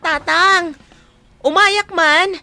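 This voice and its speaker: An extremely high and bright mother's voice, acted by a woman, with music faintly behind it. She is a concerned, skeptical parent questioning her child in a story drama. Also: drawn-out words, exaggerated voice. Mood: concerned doubt. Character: young girl's concerned, disbelieving mother.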